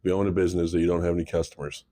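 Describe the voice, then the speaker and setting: Low-voiced rambling man in his thirties. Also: little pitch movement, rapid-fire, dark tone. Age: 30s. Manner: rambling, fumbles words, laughs at himself. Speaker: podcast co-host. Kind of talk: casual chat.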